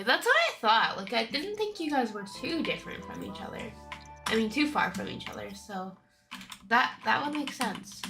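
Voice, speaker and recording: A young woman streaming a game with casual, chatty commentary, her nose stuffy from a cold and her talk breaking into bubbly bursts of excitement. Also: medium pitch.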